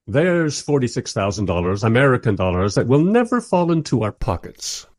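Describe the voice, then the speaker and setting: Male actor as weary filmmaker narrator, radio drama. Husky, wry, animated intonation, very dry recording. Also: medium pitch, moderate pace, dry recording. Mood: dry exasperation. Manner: dry, sardonic storytelling. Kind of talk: radio play first-person narration.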